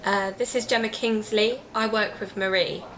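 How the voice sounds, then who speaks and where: A woman's voice: mid-range voice, steady tone, moderate pace, a bit bright, lightly acted. A British woman playing a polite, persuasive amateur podcast investigator in an audio drama, courteous and explanatory.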